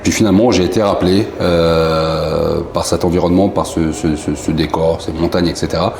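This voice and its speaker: A man's voice: low voice, fairly even intonation, normal speed, gravelly, darkish tone. A man from France answering interview questions in a chatty, joking, self-mocking way, with many fillers. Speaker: founder of a poker company, interview guest. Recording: roomy room with faint noise.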